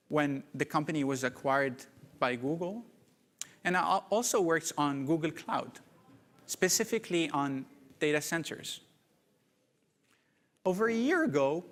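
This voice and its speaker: A man from Morocco giving a prepared keynote talk at a conference, measured and deliberate. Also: fairly high pitch, a bit bright. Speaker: conference speaker. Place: conference hall. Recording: reverberant conference hall.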